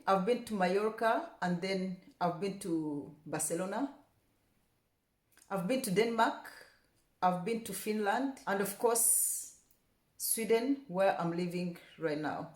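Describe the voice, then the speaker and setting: A woman's voice: mid-range voice, slow, a little mellow. Chatty Rwandan-born woman, echoey room. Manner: chatty, repeats words for emphasis, grows passionate. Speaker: vlogger. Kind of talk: casual talk to camera.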